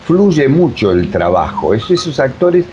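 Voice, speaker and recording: A man's voice: sing-song, a little slow, a little mellow. A man with a Rioplatense Argentine accent talks casually as an interviewed director, rambling emphatically and searching for words. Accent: Rioplatense Argentine Spanish. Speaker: radio drama director being interviewed. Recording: muffled, low-fi with faint noise.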